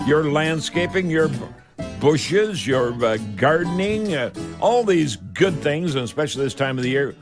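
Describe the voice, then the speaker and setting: An older Canadian man hosts a home improvement radio show in a mid-range voice. His delivery is folksy and unscripted, with halting phrases. Light music sits faintly in the recording. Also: medium pitch.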